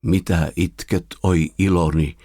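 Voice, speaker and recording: A man voices a dead father's spirit in a poetry drama, low and solemn. He consoles from the grave in slow, somber verse, recorded very dry. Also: fairly even intonation, warm tone, no room sound, natural voice. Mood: gentle concern.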